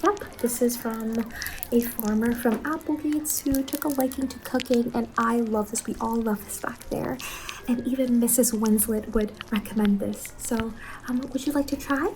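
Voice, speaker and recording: A woman playing an eager, apologetic young saleswoman in an ASMR roleplay, speaking softly, politely and a little hesitantly. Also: on the high side, fairly even intonation, normal speed, a little hiss or hum, close to an everyday voice, low-quality recording. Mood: gently recommending. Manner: soft-spoken, hesitant, polite customer-service talk. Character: Angeline, eager apologetic young saleswoman at macabre pumpkin stall.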